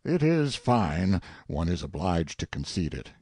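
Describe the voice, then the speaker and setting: A man's voice: deep voice, big pitch swings, measured pace, darkish tone, slightly rough, no room sound, clean studio sound, exaggerated voice. A man playing a pompous, sardonic king, theatrically swooping. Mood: grudging, vindictive. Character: haughty, self-pitying old king musing aloud, sardonic tyrant. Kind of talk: dramatic audiobook monologue.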